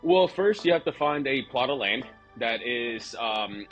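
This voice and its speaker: A man's voice: mid-range voice, expressive, a little slow, a bit bright, faint music behind. A man from Venezuela with a Venezuelan Spanish accent, a guest talking casually on a podcast and rambling with lots of fillers and restarts. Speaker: guest, truck dealership owner.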